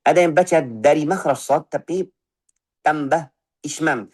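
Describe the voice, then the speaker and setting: A man teaching Quran recitation, correcting pronunciation by voicing the wrong and right sounds in turn and repeating short syllables slowly. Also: a little high, a little mellow, husky. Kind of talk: tajwid lesson with spoken recitation examples.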